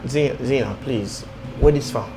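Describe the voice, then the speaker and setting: A man's voice: medium pitch, moderate pace, dark tone, slight background noise, natural voice, low-quality recording. A man with a Jamaican accent playing a timid young man who stammers and pleads in patois. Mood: pleading.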